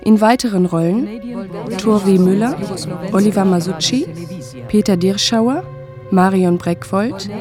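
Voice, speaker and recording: Female radio announcer, mid-pitched, very unhurried credit reading, music clearly behind. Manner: measured, formal. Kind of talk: scripted radio drama credits.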